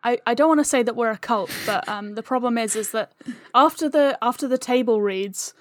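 A woman's voice: a little high, a little fast, no echo, clean studio sound. A woman guest chatting casually, wry and anecdotal, acting out remarks as she tells stories. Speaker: interview guest, audio drama creator. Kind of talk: casual chat.

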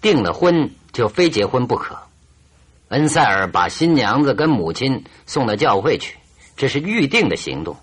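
An actor playing a shrewd, confident detective in a radio drama, reasoning aloud in a theatrical, emphatic and deliberate way. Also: male voice, mid-range voice, big pitch swings, fairly bright tone, husky. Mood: confident, accusing. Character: shrewd, confident male detective, stern yet teasing. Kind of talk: Chinese radio drama acting, expository monologue.

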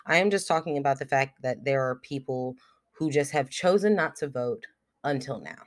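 A young podcast host talks alone into the mic, blunt and unfiltered, with loose fillers and the odd swear word. A cold and a cough drop in the mouth thicken the voice a little. The pitch sits in a middle range. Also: lively intonation, moderate pace. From United States. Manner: frank and blunt, swears freely, many fillers like "like" and "um". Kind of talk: casual solo podcast monologue.

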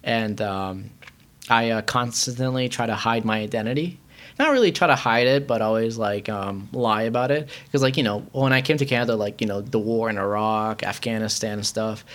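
A man's voice: fairly low pitch, moderate pace, fairly bright tone. Young man from Iran, rambling chat. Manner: rambling, heavy use of filler words. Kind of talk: casual chat.

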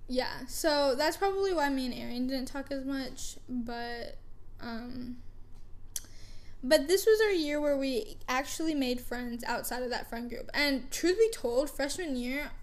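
A woman's voice: high-pitched, expressive, a little slow. A teenage girl raised in Canada, hosting a casual podcast chat and rambling through stories with frequent laughs. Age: teens. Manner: chatty, rambling, laughs often. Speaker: podcast host.

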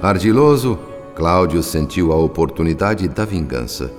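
A man's voice: sits low, expressive, moderate pace. A man with a Brazilian accent playing a solemn spirit who narrates a radio drama in a theatrical, literary manner. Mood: solemn, dramatic. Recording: long reverb over soft music. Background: soft music.

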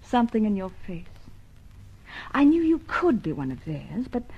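Breathy, animated, bold young woman. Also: mid-range voice, normal speed, highs cut off. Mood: confiding. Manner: knowing, teasing, often hushed and breathy. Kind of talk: radio drama acting.

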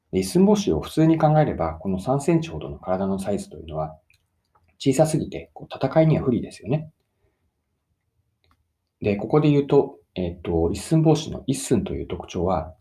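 Man, lowish pitch, animated intonation, methodical explainer, emphasis on key words, addressing listeners. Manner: methodical, stresses key words and recaps points. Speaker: presenter giving career self-development advice. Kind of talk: explanatory solo talk, addressing listeners directly.

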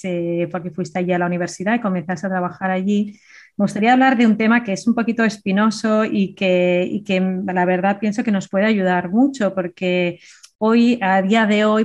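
A woman hosting an interview, affirming her guest and restarting phrases as she works through her thoughts. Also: medium pitch. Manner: warm affirmations, hesitant restarts. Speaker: host. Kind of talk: conversational interview.

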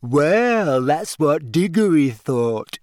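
Audiobook ghost girl played by a man: glum, touchy, high-pitched and drawn out, full of self-pity. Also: big pitch swings, slow, a little mellow, dry recording, studio quality. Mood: smug, chatty. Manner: mournful, shrill when upset. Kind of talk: audiobook character voice.

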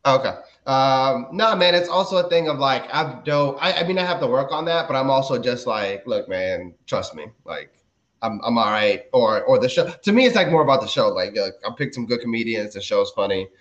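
A man's voice: medium pitch, lively intonation, normal speed, fairly bright tone, lo-fi sound. A man in his thirties from the DC area, a guest comedian chatting casually on a podcast, laid-back and rambling with many fillers and restarts. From DMV area, US. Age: 30s.

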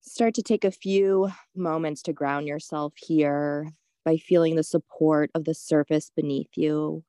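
A woman leading a guided meditation in a soothing, unhurried, gently instructive way. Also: low voice, steady tone, no room sound, clean studio sound.

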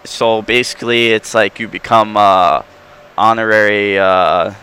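A man's voice: on the low side, steady tone, unhurried, pushed and bright, smooth. Young man with a Long Island accent. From Long Island, New York. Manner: rambling, many restarts and fillers. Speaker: hockey player guest. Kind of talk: casual interview answers.

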